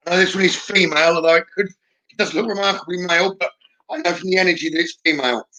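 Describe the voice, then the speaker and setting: A man hosting a live psychic reading stream, talking informally to viewers and thinking aloud in a halting way as he reads comments. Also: sits high, sharp tone, dull audio.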